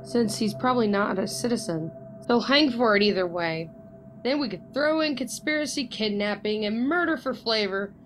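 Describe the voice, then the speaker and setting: A woman's voice: medium pitch, natural voice. A woman playing a cool, matter-of-fact yet curious noblewoman in an audio drama.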